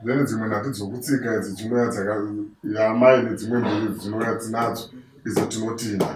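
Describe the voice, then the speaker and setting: A man's voice: lowish voice, fairly even intonation, fast, natural voice. A man with a Zimbabwean accent playing a respectful go-between for a groom in a dramatized traditional ceremony. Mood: polite, negotiating. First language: Shona. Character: respectful go-between negotiating bride price for the groom. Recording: echoey, distant, very noisy room recording.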